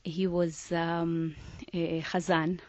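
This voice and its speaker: Slow, halting woman from Iran. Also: on the low side, level tone, darkish tone, no echo. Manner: halting, searching for words with many fillers. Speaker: singer guest. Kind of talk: interview.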